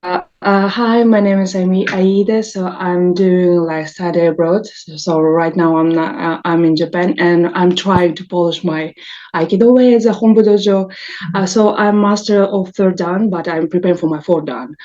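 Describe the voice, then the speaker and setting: A woman aikido student introducing herself at a lecture in eager, halting English. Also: fairly low pitch, fairly even intonation, a little mellow, lo-fi sound.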